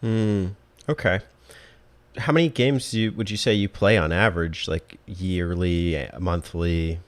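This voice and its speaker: A man's voice: low-pitched, a little slow. A man hosting a casual interview, informal and encouraging as he thinks his questions through aloud. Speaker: podcast host.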